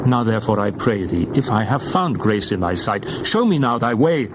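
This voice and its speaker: A man's voice: lowish voice, sing-song, normal speed. A man playing a humble prophet who pleads earnestly and formally in a dramatized scripture reading. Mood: earnest pleading. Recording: noisy background ambience.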